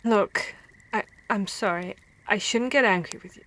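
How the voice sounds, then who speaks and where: A woman's voice: mid-range voice, unhurried, close to an everyday voice, low-quality recording. Woman acting an exasperated, caring vampire hunter. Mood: softening, apologetic. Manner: expressive, stammering, exasperated. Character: female vampire hunter, scolding but caring comrade. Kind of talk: ASMR roleplay acting.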